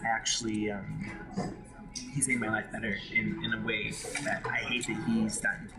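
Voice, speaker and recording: A man's voice: fairly low pitch, flat delivery, moderate pace. A man from Hawaii telling his personal story in an interview, frank and emotional, often restarting his sentences. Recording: loud background music.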